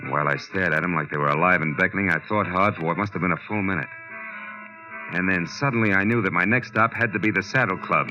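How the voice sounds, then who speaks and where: An actor playing a tough, wisecracking American private detective in 1940s radio style, clipped and wry. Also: male voice, sits low, fast, music in the background, lightly acted. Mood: wry, brooding. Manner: clipped, wry, hard-boiled. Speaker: lead actor and narrator. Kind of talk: 1940s radio detective acting, hard-boiled first-person narration and dialogue. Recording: muffled vintage radio recording.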